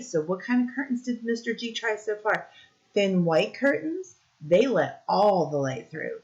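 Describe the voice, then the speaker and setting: A woman reading a picture book aloud to young children like a teacher, explaining simply and pausing to ask questions. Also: mid-range voice. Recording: dull, lo-fi recording.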